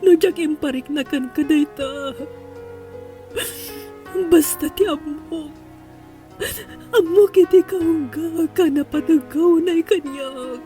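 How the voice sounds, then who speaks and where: A distraught, remorseful mother, as a woman acts her in a melodramatic audio drama. She pleads tearfully at a slow pace in a very high voice, with music faintly behind her. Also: dark tone. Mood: remorseful, relieved. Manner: tearful, pleading, emotionally drawn-out.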